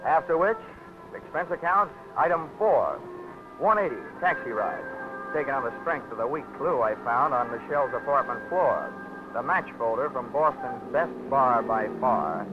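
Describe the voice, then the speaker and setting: An actor in hard-boiled 1940s radio style, playing a cocky, wisecracking insurance investigator who also narrates, with a breezy delivery. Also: male voice, mid-range voice, lively intonation, moderate pace, over music, lightly acted. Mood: narrating. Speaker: narrator and lead. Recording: dull old radio broadcast with strong hiss.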